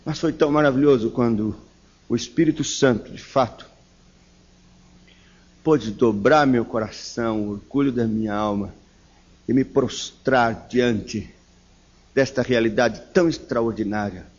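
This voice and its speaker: Husky paulista pastor, slow emphatic sermon, dull lofi sound. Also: male voice, medium pitch, a little mellow. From São Paulo, Brazil. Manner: slow, emphatic preaching with fervent rises on key words. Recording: dull lo-fi recording.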